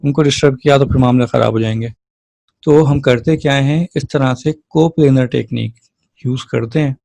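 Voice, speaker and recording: A man's voice: medium pitch, fairly even intonation, brisk, gravelly, a little mellow, dry recording. A man lecturing as a physiotherapy teacher, explaining things step by step in an informal way and often checking in with listeners.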